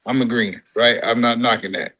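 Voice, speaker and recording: A man's voice: medium pitch, fairly even intonation, moderate pace, bright, forceful tone, breathy edge. A man on a casual talk panel who argues his side and explains it with everyday examples.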